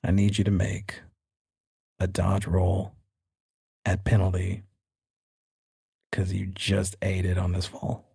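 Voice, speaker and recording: A man's voice: deep voice, monotone, slow pace, creaky, long pauses, mellow, slightly rough, no room sound, clean studio sound. A man acting as game master, narrating a tabletop game with dramatic storytelling and casual banter.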